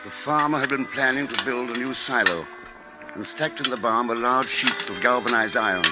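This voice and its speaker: An actor playing a grave husband and father in a 1950s radio drama, speaking in a measured, deliberate way. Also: mid-range voice, close to an everyday voice, low-quality recording. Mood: urgent, driven. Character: grim, capable husband and father narrating a siege. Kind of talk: 1950s radio drama acting and first-person narration. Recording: 1950s radio recording with music underscore.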